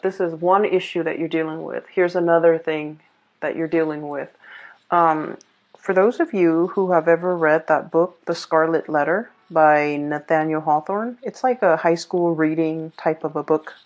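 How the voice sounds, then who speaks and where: A woman giving a casual off-the-cuff tarot reading, explaining things in a halting, hesitant way. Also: sits low. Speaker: tarot reader. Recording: dull, muffled lo-fi sound.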